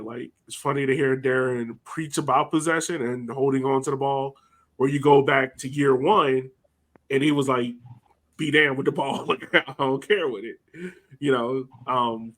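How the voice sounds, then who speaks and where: A man's voice: medium pitch, steady tone, normal speed, a bit bright. A man hosting a casual sports podcast, giving his opinions in a conversational way. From United States. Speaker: podcast host.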